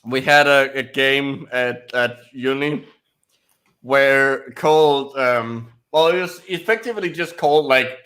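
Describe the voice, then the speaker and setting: A man's voice: mid-range voice, sharp tone. A man co-hosting a casual live stream, telling an anecdote with plenty of restarts. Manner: rambling anecdotes with many restarts. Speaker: co-host.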